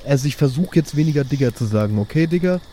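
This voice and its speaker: A man's voice: mid-range voice, lively intonation, quick pace, close to an everyday voice. A man playing a dopey, easygoing young festival guy in improvised audio roleplay, slangy, hesitant and earnest. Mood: earnest, sheepish. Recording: noisy track with chirping crickets.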